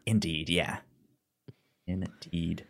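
A podcast co-host chatting casually about film, speaking haltingly with repeated words and frequent restarts. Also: deep voice, steady tone, creaky, breathy edge.

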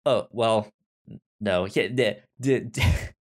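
A man co-hosting a podcast, chatting casually about films in a halting way, often repeating words and restarting. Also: lowish voice. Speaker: podcast co-host. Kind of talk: casual film review chat.

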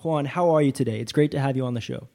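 A male podcast host who prays and then asks questions in a slow, earnest, plain-spoken way. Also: medium pitch, music in the background. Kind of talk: prayer and interview.

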